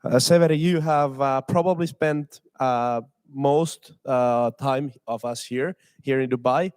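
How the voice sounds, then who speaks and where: A man's voice: medium pitch, a little slow, a little mellow, clear, resonant tone. A young man from Finland moderating a streamed student panel, hesitant with many filler sounds. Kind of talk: panel discussion on a livestream.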